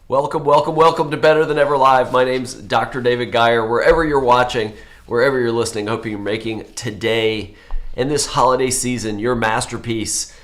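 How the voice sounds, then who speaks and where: A man in his forties, an orthopedic surgeon hosting a live health show, with a voice going hoarse from a cold, rambling and correcting himself with many fillers. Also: mid-range voice, fairly even intonation, moderate pace, a bit bright. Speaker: host.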